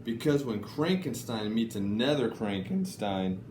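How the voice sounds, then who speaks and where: A man reads children's picture books at a slow, deliberate pace. His pitch moves up and down in a lively storytelling way, and the room is noticeably echoey. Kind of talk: expressive children's story reading. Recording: echoey room, faint background noise.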